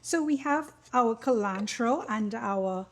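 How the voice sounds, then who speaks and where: A woman's voice: fairly high pitch, expressive, takes it slowly. A woman from Trinidad and Tobago with a Trinidadian accent, giving an informal, conversational cooking tutorial and explaining each step. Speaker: cooking demonstrator, small catering business owner.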